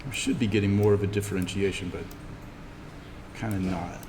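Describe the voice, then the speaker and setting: A man teaching an informal in-class software tutorial, thinking aloud haltingly as he demonstrates. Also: low-pitched, fairly even intonation. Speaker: instructor teaching 3D animation software. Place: classroom, indoors. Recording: echoey room with background noise.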